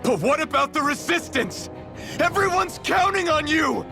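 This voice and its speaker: A man's voice: very high-pitched, sing-song, moderate pace, creaky, over music, heavily acted. An actor playing an earnest, protective young rebel swordsman in a video game drama. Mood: desperate.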